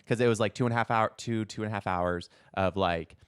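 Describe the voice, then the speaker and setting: A man's voice: on the low side, brisk, smooth. Casual, slangy man chatting on a podcast. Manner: rambling, slangy, frequent "like". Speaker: podcast co-host. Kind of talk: casual pop-culture podcast chat.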